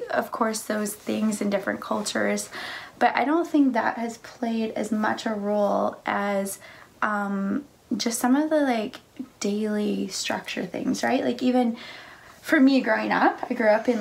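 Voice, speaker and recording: A young woman with a mid-range voice and a noticeable gravelly edge. She talks easily and chattily, with frequent "like" and "right?". She is recorded on a couch in a living room through a camera mic a little way off. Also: on the high side. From Canada and the United States. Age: young adult. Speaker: church speaker. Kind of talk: casual conversation about faith.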